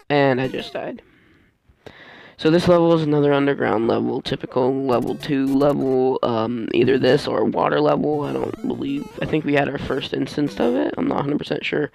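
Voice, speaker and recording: Male gaming host, gravelly fry, mid-pitched, rambling casual voice-over, game music behind. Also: fairly even intonation, darkish tone. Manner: rambling, halting, often losing his train of thought. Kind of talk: casual let's play voice-over commentary.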